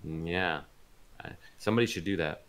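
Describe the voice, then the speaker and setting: Man, low-pitched voice, casual interview answers, halting, thoughtful, searching for words. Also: fairly bright tone. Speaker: voice actor being interviewed.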